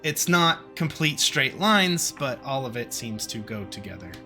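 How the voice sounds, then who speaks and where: A man with a bright, forward voice runs a tabletop roleplaying game as its game master. He describes things in a conversational, improvised way. Music plays softly behind him. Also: mid-range voice, sing-song, measured pace. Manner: descriptive, conversational, explains with casual comparisons.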